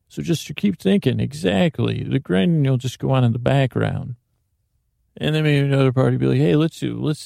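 A man hosting a sleep podcast, talking in a slow, rambling, meandering way that drifts off topic and keeps interrupting itself. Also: mid-range voice, gravelly, dark tone, no echo, studio quality. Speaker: podcast host. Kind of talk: rambling bedtime monologue.

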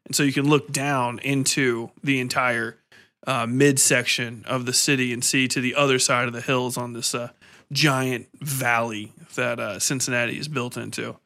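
Medium-pitched man hosting a casual interview. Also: little pitch movement, sharp tone. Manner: informal, halting phrasing. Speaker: podcast host, interviewer.

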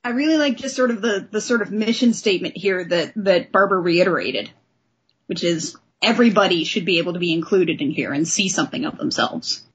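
A woman's voice: on the low side, animated, fairly quick, slightly rough. A woman hosting a discussion podcast about comic books. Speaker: podcast host. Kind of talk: comic book discussion with dramatic letter reading. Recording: dull, lo-fi podcast audio.

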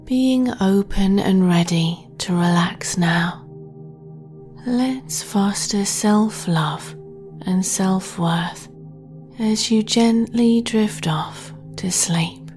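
A woman hosting a guided sleep meditation in a soft, breathy half-whisper, gentle and soothing. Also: medium pitch, faint music behind. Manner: slow, soothing, gentle delivery.

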